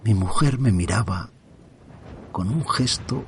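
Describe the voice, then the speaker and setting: Casting: middle-aged man, low voice, hushed storyteller, faint street hum behind. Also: lively intonation, takes it slowly. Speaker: first-person narrator. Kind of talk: scripted horror narration. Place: at home by an open window.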